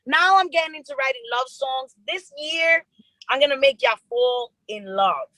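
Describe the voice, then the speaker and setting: Young new york woman, bright higher voice, earnest storytelling, dry close mic. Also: moderate pace, no room sound, low-quality recording. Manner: earnest, animated storytelling with repeated restarts. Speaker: rapper guest. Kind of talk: casual interview chat.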